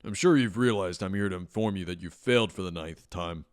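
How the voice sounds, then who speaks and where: A man plays a stern academy official in a superhero audio drama. His voice is slightly low and measured. He is blunt and dry, with steady authority. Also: clean tone, lightly acted.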